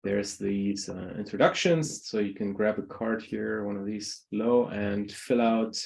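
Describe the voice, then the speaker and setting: A man from Germany facilitating a video-call meeting, relaxed and hesitant with frequent ums. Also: on the low side, measured pace, creaky. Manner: hesitant, many ums, light laughs. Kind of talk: casual online meeting talk.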